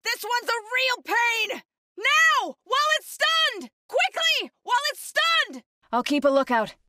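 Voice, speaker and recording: Intense, bright-voiced young warrior woman. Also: extremely high pitch, big pitch swings, takes it slowly, no room sound, full character voice. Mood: earnest, alert. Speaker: video game voice actor. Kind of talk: scripted game combat barks and companion lines.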